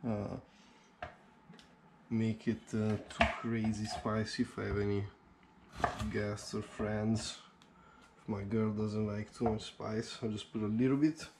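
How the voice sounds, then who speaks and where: A man's voice: lowish voice, little pitch movement, a little slow, pauses often. A young man chatting casually as he cooks in his home kitchen, thinking aloud with fillers. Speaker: home cook vlogger. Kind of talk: casual cooking commentary. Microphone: head-mounted action camera. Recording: action camera audio with clear background noise.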